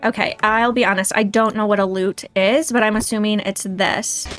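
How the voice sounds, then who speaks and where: A woman's voice: medium pitch, steady tone, normal speed, music in the background. A woman hosting a casual game livestream, chatting and thinking aloud in a self-deprecating way. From United States. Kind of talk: casual livestream chat and gameplay commentary.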